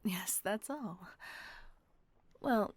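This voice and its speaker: A woman voicing a kind, doting female vampire mistress in an audio roleplay, speaking in a soft, coaxing whisper close to the mic. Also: medium pitch, expressive, extremely slow, husky, no echo, heavily acted.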